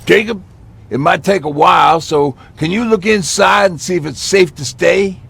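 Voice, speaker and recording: A man's voice: high-pitched, moderate pace, gravelly, fairly bright tone, slightly rough, exaggerated voice. A man voicing a practical, level-headed leader of survivors in a video game. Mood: composed. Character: steady, practical male leader of survivor band. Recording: vehicle and outdoor noise behind.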